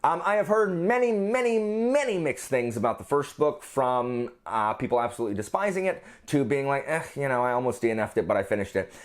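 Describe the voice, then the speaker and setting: A man's voice: mid-range voice, brisk, few pauses. A man hosting a book channel, talking casually and enthusiastically to his viewers with jokey asides.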